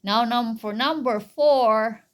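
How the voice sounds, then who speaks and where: Slow-speaking woman teaching a child. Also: a little high. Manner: patient, questioning, simple repetitive phrasing. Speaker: mother teaching her child. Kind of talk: Bible story lesson with quiz questions for a child.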